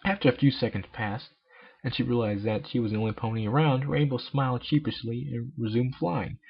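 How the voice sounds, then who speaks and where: Gravelly man reading a story, muffled recording. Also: medium pitch, steady tone, fairly quick. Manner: sarcastic, mocking commentary. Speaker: amateur fanfiction reader. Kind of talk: story reading with joking asides. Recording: dull lo-fi home recording.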